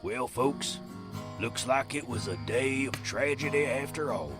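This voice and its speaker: A man's voice: mid-range voice, a little slow. A man narrating a Western tale in a folksy, dramatic storyteller manner over guitar music.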